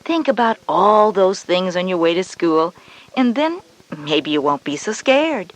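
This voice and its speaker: An actress plays a grown woman, a comforting mother in a children's educational tape. The delivery is calm and gentle, reasoning step by step in a reassuring way. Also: medium pitch, measured pace, no echo, poor audio. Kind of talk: scripted educational audio drama.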